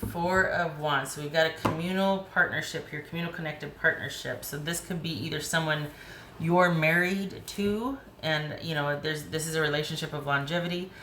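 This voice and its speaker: A woman with a hoarse voice giving a chatty, rambling tarot reading, often restarting her sentences. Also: sits low, steady tone, moderate pace, a bit bright, reverberant, slight background noise. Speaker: tarot reader.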